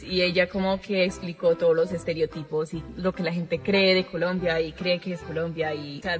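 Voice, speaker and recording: A woman's voice: mid-range voice, fairly bright tone. Echoey American woman chatting effusively. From Chicago, United States. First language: English. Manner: effusive, repeats words for emphasis. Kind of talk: casual personal chat.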